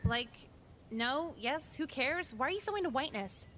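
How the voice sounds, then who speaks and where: A woman playing a sarcastic, jaded teenage high school girl in a visual novel, with a deadpan, dismissive delivery. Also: moderate pace, noisy background. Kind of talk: scripted visual novel voice acting.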